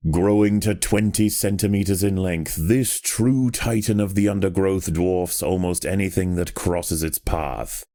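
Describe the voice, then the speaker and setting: Male game narrator, very low and dark-toned, solemn nature documentary read, measured and dramatic. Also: no room sound, clean studio sound.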